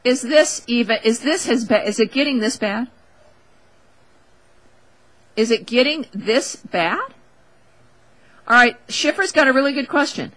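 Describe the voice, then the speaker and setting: A woman talk show host with a fairly high, bright and forceful voice. She riffs casually and with strong opinions, leaning on words for wry emphasis and talking straight to the listener. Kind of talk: opinionated casual radio monologue.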